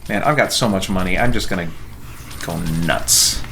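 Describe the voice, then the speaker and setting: A man's voice: low voice, moderate pace, bright, forceful tone, a little hiss or hum. A middle-aged man casually thinking aloud in rambling chat as he live-streams a game.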